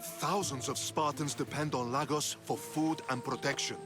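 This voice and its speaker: An actor playing a measured, principled Spartan soldier in a video game, reasoning carefully. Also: male voice, mid-range voice, steady tone, music in the background, natural voice. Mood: earnest. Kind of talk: dramatic video game acting.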